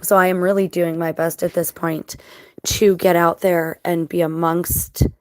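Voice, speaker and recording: A woman with a scratchy, dry-mouthed voice after crying, talking casually to viewers in a halting, reflective, earnest way. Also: on the low side, level tone, a little mellow, no echo. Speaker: vlogger.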